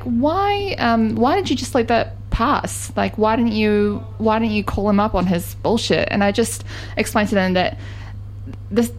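A woman in her late twenties speaking earnestly as an interview guest, pausing with "um" and restarting her sentences. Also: medium pitch, poor audio. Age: late 20s. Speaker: guest, co-creator of a series. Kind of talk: casual interview chat.

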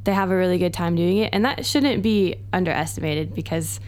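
A woman's voice: on the low side, a little fast. Laughing, chatty American woman. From United States. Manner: laughs easily, relaxed and chatty. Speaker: guest researcher. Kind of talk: casual interview chat.

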